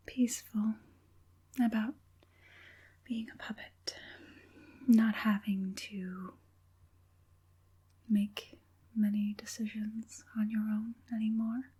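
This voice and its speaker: An evil witch puppet master, played by a woman in an ASMR roleplay. She whispers very slowly and breathily, with a soft, mellow tone. Her manner is deliberate, calm and eerily soothing. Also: fairly high pitch, husky, full character voice. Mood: hushed, probing. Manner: slow, deliberate, murmuring assent between pauses.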